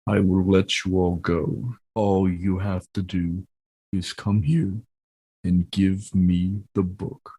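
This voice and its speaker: A man playing a menacing villain in a tabletop game, coaxing slowly with false calm. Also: low voice, slight background noise. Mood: calm menace. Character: William, menacing male villain coaxing a surrender.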